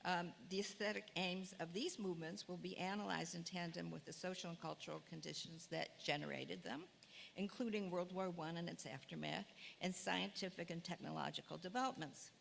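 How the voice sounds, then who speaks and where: Low gravelly woman in a reverberant hall. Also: a little fast. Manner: chatty, self-deprecating, many fillers. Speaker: course instructor. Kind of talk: class preview talk. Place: lecture hall, in front of audience. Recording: long hall reverb, faint room noise.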